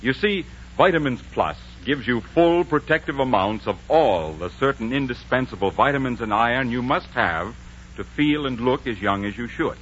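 American guy doing old time radio announcing, real lively, muffled sound. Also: medium pitch, moderate pace, a little hiss or hum. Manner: polished, persuasive. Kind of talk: 1940s radio announcing and sponsor reads. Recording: muffled 1940s radio broadcast.

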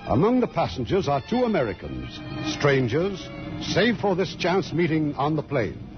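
A man's voice: a little high, huge pitch swings, normal speed. A man hosting an old radio theatre as producer, giving formal scripted narration. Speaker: producer and host. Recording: muffled old radio broadcast, faint music.

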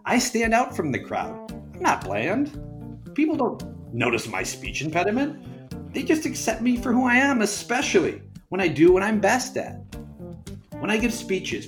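Male guest reader, animated intonation, earnest delivery, guitar music under the voice. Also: fairly high pitch. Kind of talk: reading an essay aloud.

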